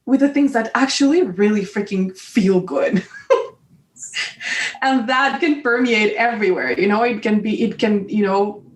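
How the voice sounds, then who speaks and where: A woman's voice: medium pitch, unhurried. A woman with a Colombian Spanish accent, a native Spanish speaker, chatting enthusiastically as a podcast guest and laughing often. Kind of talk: casual interview chat. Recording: echoey room, far from mic.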